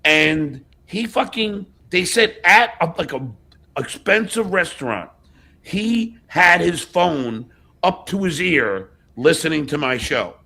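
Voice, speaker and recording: A man's voice: on the high side, lively intonation, slow pace, gravelly, pushed and bright. A man hosting a livestream in casual chat, blunt and often swearing. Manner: profane, blunt. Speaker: host of a livestream show.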